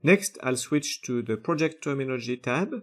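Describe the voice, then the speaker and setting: A man, methodically narrating a screen-recorded software tutorial step by step, with frequent filler sounds. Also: mid-range voice, lively intonation, normal speed.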